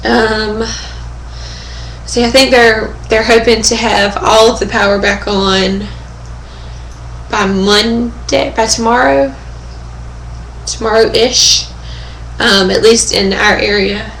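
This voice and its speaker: A young woman speaks slowly and casually into her webcam at home, her voice hoarse and fading. She fills her talk with pauses and keeps clearing her throat. The room echoes around her, with a faint hum of ambience behind. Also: a little high, fairly even intonation, a bit bright. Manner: chatty, many fillers and throat clearing. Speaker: vlogger. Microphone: webcam microphone.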